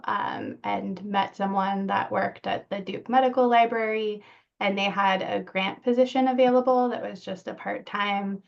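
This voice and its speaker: A woman's voice: mid-range voice, creaky. A woman librarian giving an informal account on a panel, on slightly muffled audio.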